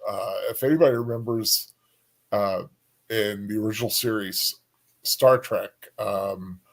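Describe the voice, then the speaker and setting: Halting, casual male co-host. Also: lowish voice. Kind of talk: casual chat.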